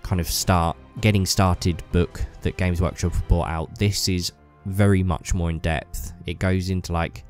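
Deep-voiced man with a stuffy cold. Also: steady tone, measured pace, few pauses, a little mellow, soft background music. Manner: enthusiastic, rambling with fillers. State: bunged up with a cold. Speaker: YouTuber. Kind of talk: casual hobby vlog talk.

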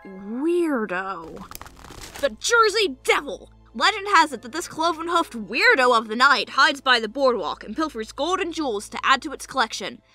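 A woman's voice: sits high, normal speed, exaggerated voice. A woman voicing an earnest, bookish young boy adventurer in a cartoon comic dub, with music behind. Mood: eager, serious. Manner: bookish, excitable.

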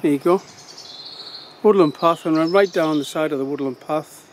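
A middle-aged man speaks in an easygoing, unhurried way as he walks through woodland, pointing things out while he digs. His voice sits a little high for a man. It is caught on a handheld phone outdoors, with faint outdoor noise behind him. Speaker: forager. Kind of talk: casual walk-and-talk foraging demo.

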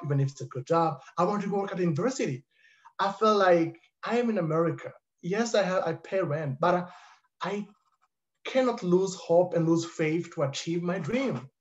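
A man in his thirties whose first language is French, speaking earnestly as a panelist on a video call through a headset. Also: on the high side. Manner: earnest, emphatic, repeats for stress. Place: home living room with high ceiling. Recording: dull muffled video call audio.

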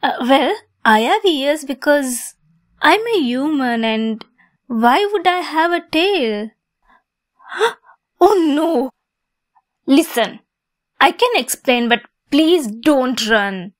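A woman's voice: sits high, animated, takes it slowly, pauses often. A woman playing a lovesick, possessive young kitsune fox girl in an ASMR roleplay, sweet, coy and giggly in manner. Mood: panicked.

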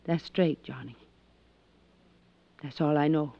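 A woman's voice: low voice, slow, vocal fry, dry recording, full character voice. An actress playing a shrewd, seductive, hard-headed businesswoman in a 1950s radio drama, coy and intimate in manner. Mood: cool, businesslike. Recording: dull, dry 1950s radio recording.

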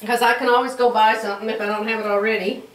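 An older woman with a drawl, hoarse, telling stories and chatting casually to camera as a sewing vlogger. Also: fairly high pitch, level tone, very fast, a bit bright, far from the mic. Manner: chatty storytelling, acts out quoted voices. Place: bedroom sewing room, indoors. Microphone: camera across the room. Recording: roomy, from off mic.

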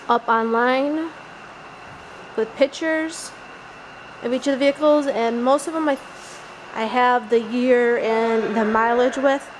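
A woman from Western New York, giving an informal walking tour of an auction warehouse on a handheld camera and correcting herself as she goes. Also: fairly high pitch. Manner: plain, informal, self-correcting as she walks. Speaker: auction house staff giving a walkthrough. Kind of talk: casual walkthrough narration. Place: large indoor vehicle warehouse. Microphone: handheld camera mic while walking. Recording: noisy warehouse camera audio.

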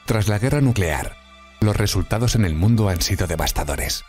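A man's voice: fairly low pitch, expressive, normal speed, lightly acted. A man playing a grave military narrator briefing apocalypse volunteers in scripted dramatic narration. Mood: grave, ominous. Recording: loud music under the voice.

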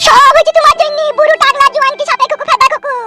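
A scolding village wife from a comedy cartoon. Her voice is extremely high and shrill, and she nags and complains at a quick pace with music behind. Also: fairly even intonation. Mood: scolding, outraged. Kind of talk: amateur comedy cartoon dialogue. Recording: music bed behind dialogue.

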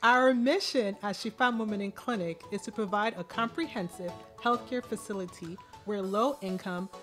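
A woman's voice: mid-range voice, normal speed, soft background music. A woman delivering scripted promotional narration.

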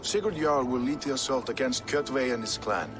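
A man's voice: medium pitch, normal speed, music in the background, close to an everyday voice. An actor playing an authoritative young Viking king in a game drama, regal as he gives orders. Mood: stately. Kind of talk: cinematic video game drama.

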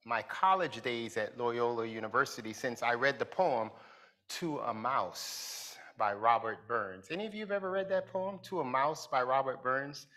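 A middle-aged man preaching as a pastor from a pulpit in a large church hall, speaking deliberately and building emphasis. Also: mid-range voice, pushed and bright. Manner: slow, deliberate delivery with rising emphasis. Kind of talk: sermon.

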